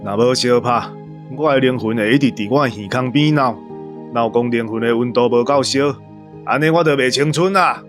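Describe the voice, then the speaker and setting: A man's voice: mid-range voice, normal speed, soft background music, lightly acted. A man playing an eager, boastful, fight-loving father in a radio drama. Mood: eager, boisterous.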